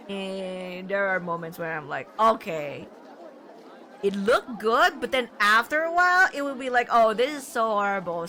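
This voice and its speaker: A woman live streaming a visual novel, reading text aloud and chatting expressively. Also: medium pitch. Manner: expressive, reacts with frequent laughter and exclamations. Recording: noisy stream with game sound behind. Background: game audio and ambience.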